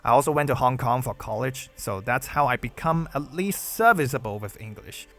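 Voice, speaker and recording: A young man from China with a Chinese accent, a Mandarin native speaker, reading out a Q&A video in a measured, careful way. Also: mid-range voice, lively intonation, fairly bright tone, faint music behind. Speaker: gaming YouTuber. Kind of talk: read Q&A video narration.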